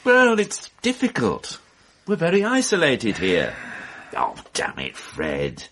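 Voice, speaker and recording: Wily police inspector, very animated. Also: male voice, medium pitch, slow pace, a little hiss or hum, full character voice. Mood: wily, keen. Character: ambitious, wily male police inspector, confident and persuasive. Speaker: actor in radio drama. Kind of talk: radio mystery drama acting.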